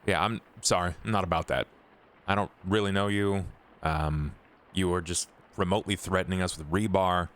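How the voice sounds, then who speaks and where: Blunt vampire freelancer, played by a man, low-pitched, dry, casual, distrustful, dismissive, tabletop roleplay. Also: steady tone, moderate pace, fairly bright tone, smooth. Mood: dismissive, wary.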